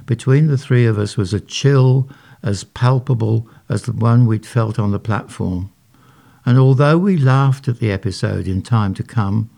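Wry male author reading his story, low gravelly voice. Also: a little mellow. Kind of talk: read-aloud short story narration.

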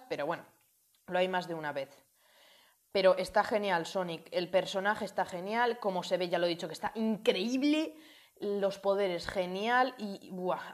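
Chatty woman reviewing a film. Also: lowish voice. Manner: chatty, lively, many fillers and restarts, asides to viewers. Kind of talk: casual film review vlog.

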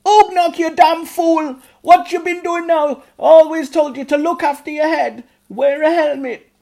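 A loud goblin mother, as a man puts her on in a storybook. She scolds in high, shrill shouts, far above a man's speaking voice. The voice sounds forced and strained. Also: unhurried, vocal fry, full character voice. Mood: scolding.

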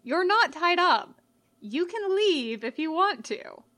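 A woman voicing a gentle, casual male skeleton monster in a story, earnest and puzzled. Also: very high voice, very animated, slow, pitched above the performer's own voice, exaggerated voice. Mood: baffled.

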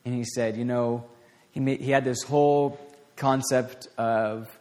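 A man giving an informal, conversational sermon to a small group, with a voice turning raspy and plenty of restarts and fillers. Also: mid-range voice, steady tone, unhurried, darkish tone. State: voice getting raspy. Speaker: preacher. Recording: long hall reverb.

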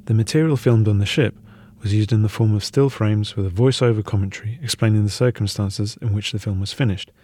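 A man's voice: lowish voice, steady tone, fairly quick, few pauses, warm tone, no echo, clean studio sound. A man reading scripted documentary narration as the narrator.

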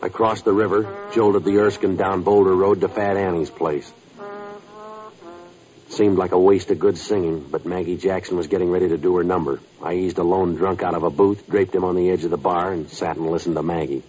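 An American actor playing a hardboiled, weary jazzman in a radio crime drama, terse and deadpan in clipped sentences. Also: low voice, a little fast, a little hiss or hum, natural voice. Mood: weary, matter-of-fact. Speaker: lead actor and narrator. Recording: dull vintage radio broadcast, faint music.

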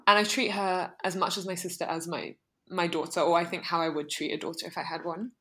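A woman's voice: on the low side, steady tone, brisk, bright, forceful tone. A young woman co-hosting a podcast, talking reflectively in a casual way with many fillers. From United Kingdom. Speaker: podcast co-host.

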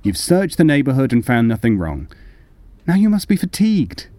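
A man's voice: mid-range voice, sing-song, normal speed, warm tone, no echo, natural voice. An actor playing a nervous, guilty murderer in an old-time radio horror play, stammering and overly polite as he hides his madness. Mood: nervously polite.

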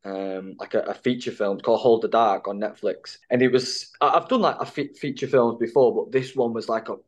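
Animated male actor telling stories. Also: medium pitch, fairly quick, dull audio. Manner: animated, filler-heavy, swears. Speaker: actor, podcast guest. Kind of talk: casual storytelling chat.

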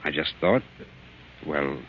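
An actor playing a stern, insistent family doctor in a 1940s radio drama, speaking firmly and deliberately. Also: male voice, very deep voice, lively intonation, full character voice. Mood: grave, persuasive. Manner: firm, deliberate, commanding. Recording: tinny, narrow-band old radio recording.

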